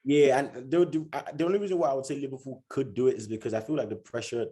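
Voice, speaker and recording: A man's voice: mid-range voice, fast, mellow. A man chatting casually about football as a podcast guest, often restarting and repeating words as he thinks aloud.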